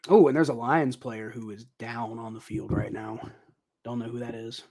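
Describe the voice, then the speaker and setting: Casual young man calling football, slightly raspy. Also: mid-range voice, fairly even intonation, moderate pace, darkish tone. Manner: rambling, thinking aloud with many ums and uhs. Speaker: livestream host commentating football. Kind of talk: casual live play-by-play commentary.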